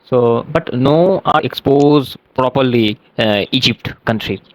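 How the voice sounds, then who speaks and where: A man from India chatting in halting, as he practices, with many fillers and restarts. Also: mid-range voice. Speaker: conversation partner practicing English. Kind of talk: casual English practice chat.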